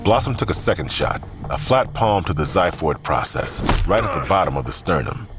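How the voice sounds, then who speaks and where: A man's voice: low-pitched, big pitch swings, normal speed, music in the background. A man narrating a scripted audio drama as a thriller storyteller.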